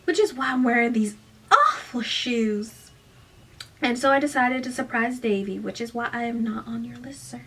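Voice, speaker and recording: A woman voicing a teenage girl who fakes a sweet, demure Southern cheerleader drawl in a story, with breathy, stretched syllables and a pleading manner, recorded away from the mic. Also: fairly high pitch, moderate pace, a bit bright, far from the mic, voice pushed up. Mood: confident, gushing.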